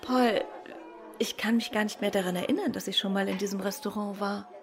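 An actress playing a refined, curious, sharp-witted detective's wife in a German radio play, speaking in a conversational, inquisitive way. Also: female voice, medium pitch, steady tone, a little fast, music in the background, lightly acted. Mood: wistful, casual.

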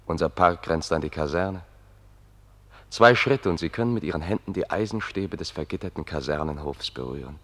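An actor in a German radio play as an attentive guide who describes things in a measured, deferential way. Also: male voice, sits low. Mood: calm, observant. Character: attentive male guide, quietly describing the world to His Excellency.

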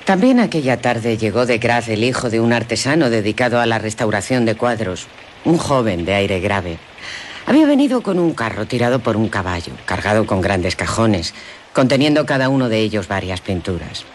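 A man's voice: fairly low pitch, sing-song, moderate pace, slight background noise. Genteel gothic storyteller, played by a man. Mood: reflective, measured. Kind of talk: radio drama first-person narration.

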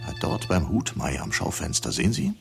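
A man's voice: low-pitched, wildly swooping pitch, fairly quick, creaky, exaggerated voice. An actor playing an agitated man at a window, exclaiming and questioning over strange sights in an absurd radio play. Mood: uneasy. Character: agitated male window-watcher, alarmed at strange sights outside. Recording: studio radio drama with music behind.

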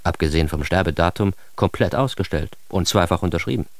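A man's voice: low voice, a little fast, no room sound. An actor playing a self-aggrandizing, wry man telling his own absurd story in a radio drama monologue, ironic and theatrical. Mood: dry, ironic. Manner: ironic, theatrical, shifting into hushed asides.

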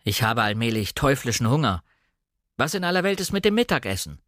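A man reading as a curt, sardonic gentleman. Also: medium pitch, sing-song, brisk, no echo, studio quality. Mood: impatient. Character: irritable, sardonic upper-class husband, master of the house. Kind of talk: dramatic audiobook reading.

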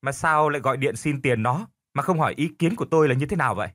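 A man's voice: mid-range voice, fast, fairly bright tone, dry recording, close to an everyday voice. A man voicing a stubborn, lazy older husband who grumbles, argues and scolds in a storybook. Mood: needling.

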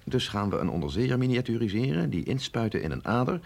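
Radio play scientist, middle-aged sceptic with a husky voice, lively pitch swings, measured and insistent. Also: male voice. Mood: measured, sceptical. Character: sceptical, authoritative male scientist briefing an agent, no longer young. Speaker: scientist doctor. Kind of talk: radio drama acting.

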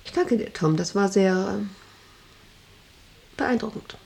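A woman's voice: mid-range voice, animated, a little slow, vocal fry, darkish tone, a little hiss or hum. An actress playing a domineering, manic female factory director in a radio drama, with an imperious, erratic, commanding manner. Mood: cool, menacing.

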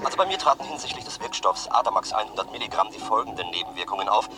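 Actor as brisk, pedantic male patient. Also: fairly low pitch, expressive, very noisy, close to an everyday voice. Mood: matter-of-fact. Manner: pedantic, list-like. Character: pedantic male forum member listing drug side effects. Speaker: actor in a radio drama. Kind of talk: radio drama acting.

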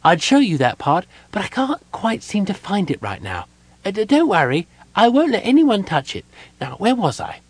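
An excitable little kender wanderer from a fantasy storybook, pitched way up high. The delivery is naive, cheerful and eager, bouncing all over in pitch. It chatters along in a scatterbrained, easily distracted way, close and dry. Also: male voice, moderate pace, husky, dry recording, exaggerated voice. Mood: earnest, distracted. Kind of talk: audiobook character voice.

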